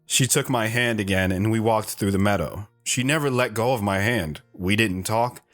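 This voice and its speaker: A man playing a shy, hesitant wanderer who narrates his own story in an audio drama, reflective in tone, with soft guitar underneath. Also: fairly low pitch, normal speed, close to an everyday voice. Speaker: narrator and guest.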